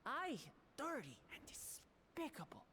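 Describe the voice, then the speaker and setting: A woman voicing an earnest, excitable young warrior princess in a video game, forthright and emphatic in her speech. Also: high-pitched, takes it slowly, slight background noise, exaggerated voice. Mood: spirited. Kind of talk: video game cutscene acting.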